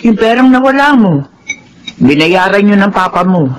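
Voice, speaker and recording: A woman's voice: medium pitch, expressive, moderate pace, slight background noise, natural voice. A woman playing an apologetic, long-suffering older household nanny in a Filipino radio drama, explaining patiently.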